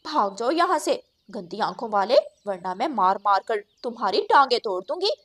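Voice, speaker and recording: A high, very animated cartoon crow wife, acted by a woman. She is brash and defiant, scolding and threatening anyone who crosses her. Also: moderate pace.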